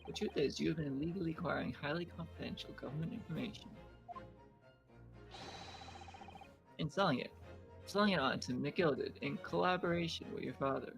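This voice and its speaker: A man's voice: a little high, fast, vocal fry, music in the background, poor audio. A man voicing an earnest defense lawyer who makes formal, declamatory accusations in a courtroom game fan dub. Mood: accusing.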